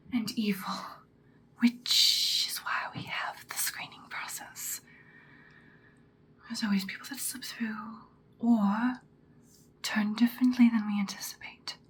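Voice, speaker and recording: A woman playing an ancient, powerful female vampire in an ASMR roleplay, whispering breathily in an intimate, calmly menacing, seductive way. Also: mid-range voice, lightly acted, low-quality recording. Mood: calm, instructive. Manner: slow, deliberate, intimate. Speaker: ASMR performer.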